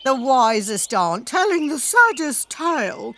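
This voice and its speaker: Mischievous fairy sprite, female performer: high, bright voice, rhythmic Shakespearean verse for radio play. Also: big pitch swings, measured pace, full character voice. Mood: boastful, mischievous. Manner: declamatory, rhythmic delivery. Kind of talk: theatrical Shakespearean verse recitation.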